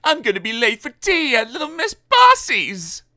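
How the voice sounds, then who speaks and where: A woman's voice: high voice, wildly swooping pitch, normal speed, sharp tone, no echo, clean studio sound, voice pushed up, full character voice. A woman voicing a timid little man character who cries out in a panic. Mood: flustered.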